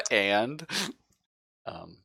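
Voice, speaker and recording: A man running a horror tabletop game as game master, narrating in deliberate, vivid detail and chatting casually with players. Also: lowish voice, sing-song, gravelly, a bit bright, no room sound, clean studio sound. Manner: slow, deliberate, vivid descriptive storytelling with pauses.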